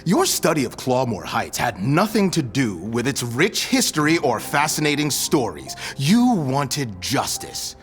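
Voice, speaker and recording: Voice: medium pitch, wildly swooping pitch, unhurried, few pauses, big-room sound, faint music behind. A performer in an audio drama playing a stern, sardonic interrogator who questions in a pointed, insinuating way. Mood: accusing, sardonic. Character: stern, sardonic interrogator pressing a witness with suspicion. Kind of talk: audio drama acting, formal cross-examination.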